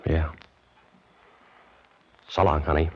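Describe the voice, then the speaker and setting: An actor playing a cynical, tough American wartime agent with clipped, slangy, world-weary delivery in 1940s radio drama style. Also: male voice, a bass voice, little pitch movement, slow pace, muffled recording. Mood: grim. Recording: noisy old 1940s radio recording.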